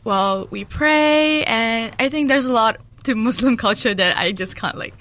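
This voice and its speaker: A woman's voice: on the high side, a little slow, fairly bright tone. A young woman from Malaysia who grew up in New Zealand, chatting casually as an interview guest and often searching for words. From Malaysia, in New Zealand since age ten. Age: young adult. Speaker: podcast guest, student association president.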